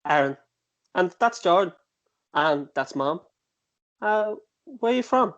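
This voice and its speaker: A woman's voice: on the low side, lively intonation, slow pace. Curious young boy, voiced by a woman, dull sound. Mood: curious, cheeky.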